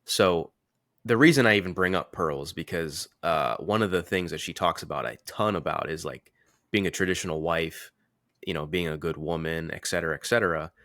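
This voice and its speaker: Bright, very low-voiced man chatting casually. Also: brisk, no room sound, studio quality. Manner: informal, slangy, occasional swearing. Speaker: podcast host and men's confidence coach. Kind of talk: casual podcast chat.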